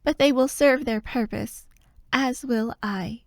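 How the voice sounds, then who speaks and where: An actress as a proud royal heir delivering a defiant fantasy speech. The voice is high and the delivery slow and formal, solemn in its oratory. It is recorded very dry and close. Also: female voice, darkish tone, no room sound. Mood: solemn defiance. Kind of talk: dramatic fantasy audio roleplay speech.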